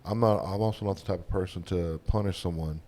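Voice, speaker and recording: A man from Texas speaks in a low, deep voice. His Southern drawl is heavy and runs his words together. He talks casually, as a guest in a podcast conversation. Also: fast, mellow. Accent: Texan Southern. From Texas, United States. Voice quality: slurred drawl.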